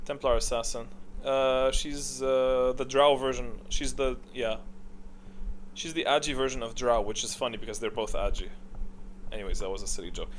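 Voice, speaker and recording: A man's voice: mid-range voice, fairly even intonation, moderate pace. Offhand man over background noise. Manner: offhand, hesitant, second-guessing himself. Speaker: gaming video host. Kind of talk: casual tier-list commentary, thinking aloud.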